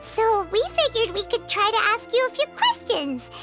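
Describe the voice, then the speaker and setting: A woman's voice: very high-pitched, big pitch swings, normal speed, a bit bright, faint music behind, heavily acted. A woman voicing a tiny, curious, naive fairy companion in a video game, with a childlike, wide-eyed way of talking. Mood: hesitant, friendly. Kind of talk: scripted game dialogue.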